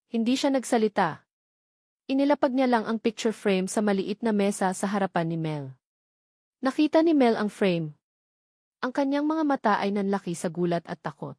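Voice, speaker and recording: A Filipino woman narrates a romantic drama in the manner of an audiobook, reading scripted prose. Her pitch sits in the middle for a woman, and the recording is dry and close, with very little room sound. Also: a little mellow, dry recording.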